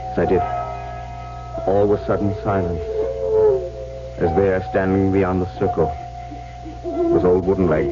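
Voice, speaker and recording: A tormented young huntsman tells his tale with grave drama in vintage radio style. The voice is fairly low, over prominent music, on a muffled recording. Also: level tone, unhurried, pauses often. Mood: grim, haunted. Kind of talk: old-time radio drama narration. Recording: dull old radio recording.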